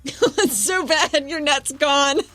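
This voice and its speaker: A woman's voice: sits high, slow, pushed and bright, soft background music. A woman playing a tabletop roleplaying game, chatting in a casual, giggly, self-mocking way. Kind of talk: casual, playful game chat.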